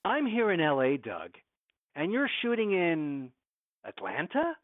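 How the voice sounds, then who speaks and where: Smug controlling movie exec, higher pitched man, voice swings around, thin phone sound. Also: huge pitch swings, a little slow, no room sound, narrow, tinny audio. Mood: stern, commanding. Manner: condescending, clipped, authoritative. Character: smooth, evasive, domineering male film studio executive. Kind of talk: modern audio drama acting.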